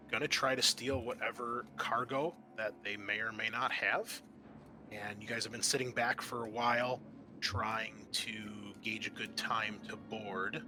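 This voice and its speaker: A man narrating an improvised tabletop game as game master, hesitating as he searches for words. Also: lowish voice.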